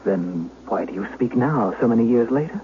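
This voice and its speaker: An actor playing a grave, troubled steward in an old radio drama, speaking in a measured, formal storytelling way. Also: male voice, mid-range voice, animated, natural voice. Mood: troubled, guarded. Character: grave grown steward narrating his guilty past. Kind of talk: scripted first-person radio drama narration. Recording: very noisy, dull vintage radio broadcast.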